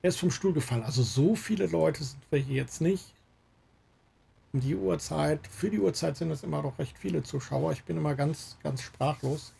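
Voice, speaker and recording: A man's voice: on the high side, normal speed, gravelly, mellow, slightly rough. A man hosting a gaming livestream, chatting casually with guests and viewers. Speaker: livestream host. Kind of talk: casual gaming stream chat. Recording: faint background noise.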